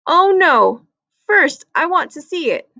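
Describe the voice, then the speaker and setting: A woman's voice: very high-pitched, sing-song, slow pace, sharp tone, dry recording, voice pushed up, full character voice. A woman voicing an eager, impatient young schoolboy in a storybook. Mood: insistent.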